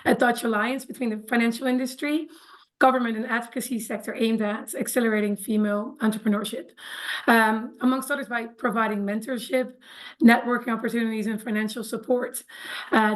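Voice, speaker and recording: A woman panelist on a webinar over a video call who has nearly lost her voice, explaining things in a measured way. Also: on the high side, rough recording. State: losing her voice.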